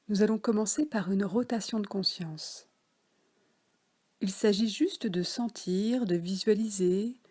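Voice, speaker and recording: A French woman guiding a yoga nidra relaxation session, speaking softly with some words whispered. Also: medium pitch, breathy edge. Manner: slow, soft, partly whispered guidance. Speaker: relaxation guide.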